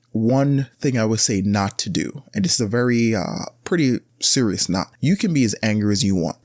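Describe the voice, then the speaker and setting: A man hosting a casual solo podcast, giving blunt advice in a rambling, conversational way that often jumps up in pitch to stress a point. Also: darkish tone, no room sound, clean studio sound. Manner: rambling, with frequent restarts and filler words. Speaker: podcast host.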